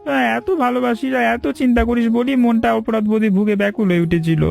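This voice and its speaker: A man's voice: very high voice, fast talker, vocal fry, darkish tone, faint music behind, heavily acted. A man voicing a frail, sentimental old family retainer in a cartoon, plaintive and effusive in manner. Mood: touched, grateful. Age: older. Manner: plaintive, emotional, effusively grateful. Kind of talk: cartoon story dialogue.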